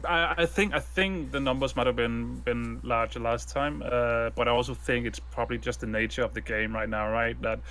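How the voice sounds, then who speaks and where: A man's voice: mid-range voice, steady tone, moderate pace, few pauses, fairly bright tone. A man from Denmark with a Danish accent, co-hosting a casual gaming podcast in a hesitant way, repeating words and saying uh often. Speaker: co-host.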